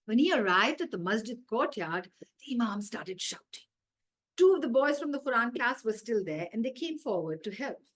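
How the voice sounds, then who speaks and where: A woman with an Indian accent reads a children's story aloud. Her voice is a little high, and her narration is expressive and clearly meant for young listeners. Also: normal speed. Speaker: author reading her own story.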